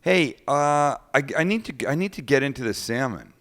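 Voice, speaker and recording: A man's voice: medium pitch, animated, normal speed, fairly bright tone. A man hosting a live cooking show, chatting casually, joking and swearing now and then.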